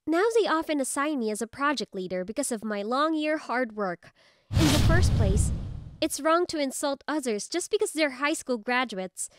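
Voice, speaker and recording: Woman playing high-voiced, firm young office senior. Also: no echo. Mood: angry. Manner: assertive, plain-spoken. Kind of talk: scripted manga story dub.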